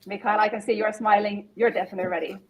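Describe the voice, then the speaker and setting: A woman with a medium-pitched voice co-hosts a webinar on a video call. She addresses the audience directly and explains how the session will run. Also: fairly even intonation, very fast, highs cut off. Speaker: co-host. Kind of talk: webinar housekeeping, presenting to audience. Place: indoor room, video call.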